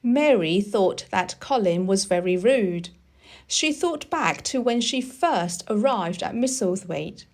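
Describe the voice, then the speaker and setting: A woman narrating a children's storybook for learners in a deliberate, expressive storytelling manner. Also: medium pitch. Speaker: audiobook reader.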